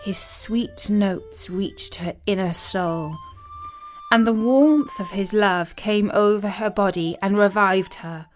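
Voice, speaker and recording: A woman narrating a scripted story as a storyteller, in an expressive, deliberate and solemn dramatic manner. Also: mid-range voice. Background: music.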